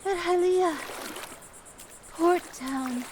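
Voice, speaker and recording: A woman playing a frail, solemn water-folk scout in a fantasy audio drama, speaking haltingly. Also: extremely high pitch, noisy background, exaggerated voice. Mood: weary, solemn. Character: frail, stranded female Zora scout of a river people.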